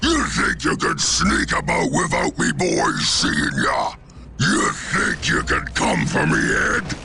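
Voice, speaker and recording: A man's voice: a little high, vocal fry, pushed and bright, a little hiss or hum, heavily acted. A man voicing a brutish, belligerent orc warlord in a video game, with a guttural growl and a coarse, bullying Cockney accent. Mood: menacing, scornful. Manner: coarse, bullying, rabble-rousing. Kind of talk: video game character acting.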